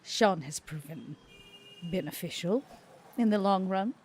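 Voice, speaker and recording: A woman's voice: mid-range voice, expressive, darkish tone, slight background noise. A woman playing a guarded, whispery vampire lady. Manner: slow, measured, often half-whispered. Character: composed, guarded female vampire.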